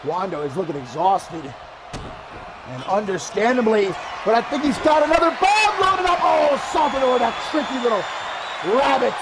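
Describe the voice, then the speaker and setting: A man calling a wrestling match as play-by-play commentator, excitable and shouting at big moves, his voice going hoarse. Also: extremely high pitch, moderate pace, a loud crowd, very noisy. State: losing his voice. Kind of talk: live streamed commentary.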